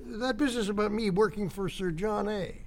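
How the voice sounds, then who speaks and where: An older man playing a folksy, touchy widowed retired speechwriter in a naturalistic audio drama, with gravelly, rambling, old-fashioned speech. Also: high voice, brisk. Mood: affronted.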